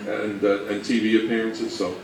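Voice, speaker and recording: Low-voiced man in an echoey room. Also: little pitch movement, normal speed, gravelly. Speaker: presenter at a fan convention talk. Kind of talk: casual presentation with audience questions. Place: roomy indoor presentation space with audience. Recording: echoey room, distant mic, audience noise.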